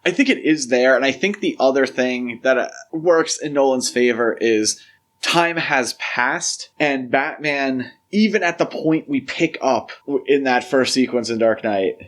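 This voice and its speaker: Male podcast co-host, mid-pitched voice, casual and analytical, explains as he chats about film. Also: a little slow, fairly bright tone.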